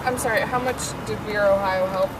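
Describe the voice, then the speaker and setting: A woman's voice: mid-range voice, steady tone, fast. A female reporter asking tentative questions that trail off at a press conference. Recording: distant, loud traffic outdoors.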